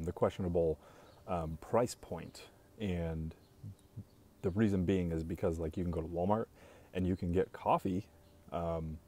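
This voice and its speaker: A young man hosting a casual live stream, talking in a calm, even monotone, slow and reassuring toward his viewers. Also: deep voice, slightly rough. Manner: speaks slowly and reassuringly to viewers.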